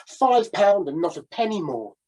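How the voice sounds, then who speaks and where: A prim, exasperated gentleman, as a woman storyteller puts him on, with a husky voice that jumps up sharply in disbelief. He sounds fussy and put upon. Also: mid-range voice, very animated, normal speed, voice pushed up.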